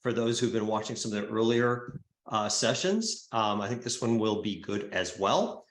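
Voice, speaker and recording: A man hosting and moderating an online summit session over a video call, friendly and unhurried, with many fillers. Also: on the low side, expressive. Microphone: remote video call. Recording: roomy, echoey sound.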